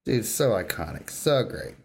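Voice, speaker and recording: A man's voice: lowish voice, big pitch swings, moderate pace, vocal fry, breathy edge. A man co-hosting a podcast, talking about games in casual, slangy, emphatic fan talk. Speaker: podcast co-host. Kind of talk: casual gaming chat.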